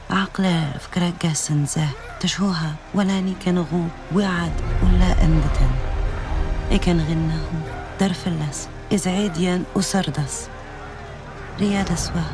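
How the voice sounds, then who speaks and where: An actress playing a grave local, narrating a game's war story in a solemn way. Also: female voice, on the low side, a little mellow, natural voice. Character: grave North African local telling of war. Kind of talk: dramatic game story narration.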